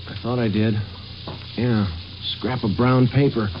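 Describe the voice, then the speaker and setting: A man playing a stoic police sergeant in a 1950s radio drama, with clipped, deadpan delivery. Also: medium pitch, unhurried, noisy background, natural voice. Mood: terse, intent. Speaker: narrator and lead actor. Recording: dull old radio recording, music behind.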